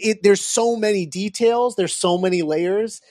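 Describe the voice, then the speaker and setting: A man hosting a podcast, talking casually about a film in an earnest, emphatic, halting way. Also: sits high, darkish tone, no room sound, clean studio sound. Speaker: podcast host.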